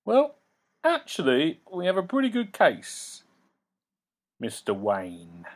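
A man doing a high, stammering, nervous lawyer. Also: wildly swooping pitch, slow pace, heavily acted. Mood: officious. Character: nervous, fussy male city lawyer.

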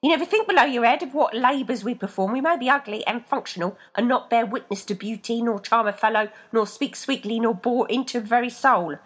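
A woman voicing a pair of talking feet, sarcastic brothers who scold loudly and sound lofty. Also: a little high, fairly quick, few pauses, pitched above the performer's own voice.

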